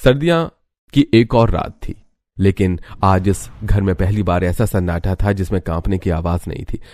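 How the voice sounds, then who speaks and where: A man's voice: deep voice, moderate pace, darkish tone. A man narrating a scripted cartoon story in a dramatic storytelling style.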